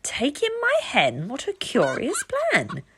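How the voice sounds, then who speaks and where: A woman voicing a fretful, grumbling little old lady from a children's storybook, pleading and wailing in a drawn-out, dramatic way. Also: high voice, wildly swooping pitch, fairly bright tone, husky, soft background music, pitched above the performer's own voice, exaggerated voice. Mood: puzzled.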